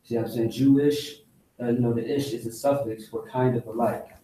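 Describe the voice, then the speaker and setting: Man, insistent casual preacher; flat delivery, far from the mic, roomy. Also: medium pitch, brisk, a little hiss or hum. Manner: insistent, keeps asking "see what I'm saying?". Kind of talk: casual preaching to a small group. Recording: echoey room, voice heard from off mic.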